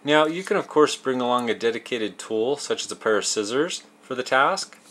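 A man's voice: mid-range voice, animated, normal speed, fairly bright tone. Man explaining fishing tips step by step. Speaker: host of a fishing tips show. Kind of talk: instructional how-to narration.

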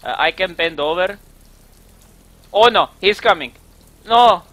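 A man with an Estonian accent improvising a campy, pleading singer character in halting broken English during a game stream. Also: high voice, lively intonation, a bit bright, dry recording. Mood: pleading, anxious. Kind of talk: improvised role-play while streaming a game.

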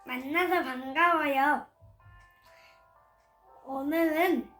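An eight-year-old girl recites a children's story in a high voice. She goes slowly and deliberately, as if from memory. The room adds a clear echo. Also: fairly bright tone. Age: child, 8 years old. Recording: roomy echo.

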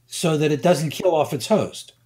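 An older man, a guest scientist, explaining slowly and deliberately, pausing and repeating words as he thinks. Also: a little high, darkish tone. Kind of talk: unhurried expert explanation.

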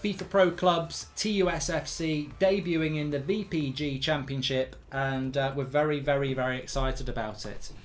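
A hoarse man in his thirties doing live, blunt, sweary commentary while streaming a game from a desk indoors. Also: fairly high pitch, steady tone, moderate pace, few pauses. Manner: blunt, sweary, rambling banter.

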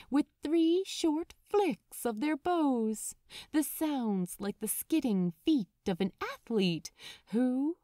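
A woman reading fiction aloud as a narrator, in a dramatic, expressive audiobook style. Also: on the high side, no room sound, studio quality.

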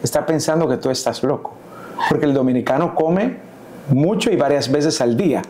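A man's voice: fairly high pitch, normal speed, darkish tone, slight background noise. A man in his thirties hosting an interview, asking short follow-up questions on a lapel mic. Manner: brief prompts and follow-up questions. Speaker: host. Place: indoor living room set.

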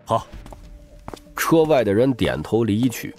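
A man's voice: on the low side, sing-song, slow, a little mellow. A man narrating a novel as a dramatic scripted audiobook.

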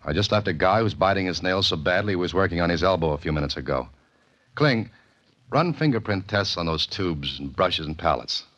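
An actor playing a cool, wisecracking amateur sleuth in a 1940s radio mystery, with a deadpan, clipped delivery. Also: male voice, sits low, a little fast. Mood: wry, brisk. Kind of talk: 1940s radio mystery acting, first-person narration. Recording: muffled old radio recording with music.

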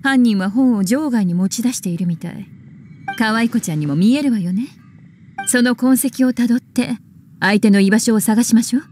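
A woman voicing a poised young witch librarian in an anime game, languid and teasing with a soft menace. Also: on the high side, normal speed, faint music behind, lightly acted. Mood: calm, focused. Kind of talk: anime video game voice acting.

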